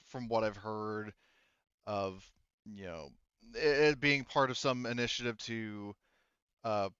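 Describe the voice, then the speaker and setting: A man's voice: lowish voice, fairly bright tone, smooth, no room sound, muffled recording. Man playing a weary, hesitant woman engineer. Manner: hesitant, halting, candid. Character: weary, candid overworked woman engineer in a space colony.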